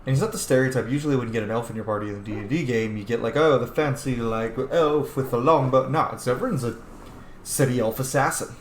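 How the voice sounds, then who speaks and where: A man's voice: medium pitch, fast. Man doing casual commentary in a roomy space. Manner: informal, sweary, reflective. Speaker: let's play host. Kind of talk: casual roleplay game commentary. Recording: roomy echo, faint background noise.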